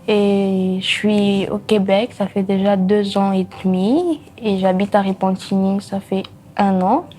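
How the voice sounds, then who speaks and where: A woman's voice: mid-range voice, fairly even intonation, unhurried, warm tone, slight background noise. A sixteen-year-old young woman from the Congo, introducing herself in an interview. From Democratic Republic of the Congo. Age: teenager, sixteen. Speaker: student interviewee.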